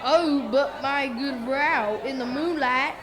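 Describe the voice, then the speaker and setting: Very high, drawling tavern gossip, played by a girl. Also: takes it slowly, soft background music. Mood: teasing gossip. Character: gossiping Dutch tavern villager, teasing and excitable. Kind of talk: school radio play acting. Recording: loud crowd, noisy and distant.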